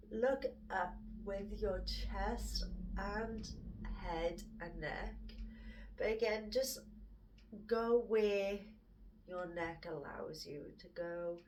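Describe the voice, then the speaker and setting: A woman leads a yoga session in an unhurried, soothing way, her voice sitting on the lower side and a little nasal from hay fever. She gives gentle cues and slips into near-whispers now and then. She sounds some way off from the microphone. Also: distant mic, rough recording. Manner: calm, gently encouraging cues, drops to a whisper at times. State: stuffy-nosed from hay fever. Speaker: yoga instructor. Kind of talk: guided yoga instruction.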